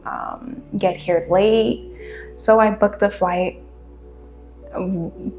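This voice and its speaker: Slow-talking woman podcast host, echoey room. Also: lowish voice. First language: Spanish. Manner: relaxed, rambling, laughs often. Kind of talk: casual monologue. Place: her room.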